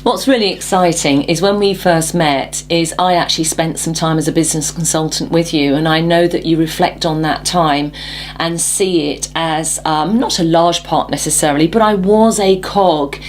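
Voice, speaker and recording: A woman's voice: low-pitched, normal speed, a little hiss or hum. A woman hosting a talk show, asking questions and encouraging her guest with frequent murmurs of agreement. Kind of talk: interview hosting.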